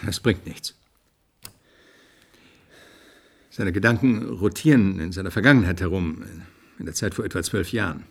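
A man's voice: low-pitched, fairly quick. A man playing a cold, clinical research scientist in a science-fiction radio drama, matter-of-fact and pointed.

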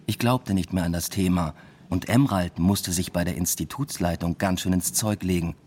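Low-voiced, dryly ironic man narrating. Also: moderate pace, a little mellow, husky. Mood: dry, reflective. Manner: dry, understated, reflective. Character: weary, ironic male literature lecturer telling his story. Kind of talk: radio play first-person narration. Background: music.

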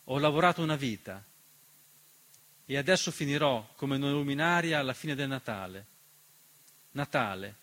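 A man's voice: mid-range voice, lively intonation, moderate pace, long pauses, a bit bright. A man performing a scripted theatrical monologue as narrator, declamatory and accusatory, full of rhetorical questions. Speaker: stage narrator and writer-performer.

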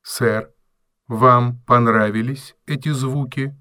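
A robot servant acted by a man, courteous and coolly logical. He speaks very deliberately at a middling male pitch, formal and without emotion, in a dry radio-play recording. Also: fairly even intonation, sharp tone, dry recording. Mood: calm, curious. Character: polite, measured robot servant with unshakable calm logic. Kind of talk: audio drama acting.

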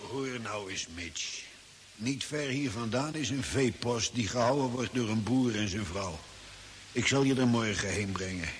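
An actor playing a bossy, sarcastic outback camper in an old radio drama. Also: male voice, medium pitch, lightly acted. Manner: sarcastic, commanding. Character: gruff, sardonic male outback camper, bossy and dismissive. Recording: very noisy old radio recording.

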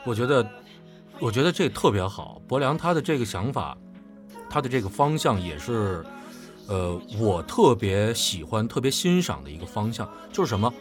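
Male panelist, emphatic storyteller with highly varied intonation, informal analysis, music behind. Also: big pitch swings, moderate pace. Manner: emphatic, storytelling with repeated phrases. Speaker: panelist analysing drama characters. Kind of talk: casual explanatory panel chat.

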